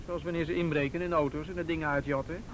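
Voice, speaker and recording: A man's voice: fairly high pitch, fairly even intonation, fast talker, a little hiss or hum, natural voice, rough recording. An actor playing a teasing, unflappable off-duty policeman with dry, bantering delivery in a naturalistic radio drama. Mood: wry, teasing. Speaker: police officer of youth and vice squad.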